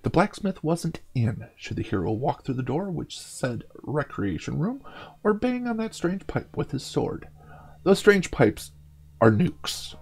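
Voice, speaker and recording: A man's voice: on the high side, sing-song, measured pace, gravelly, slightly rough. A man hosting a gaming show, reading a fantasy story theatrically with casual commentary.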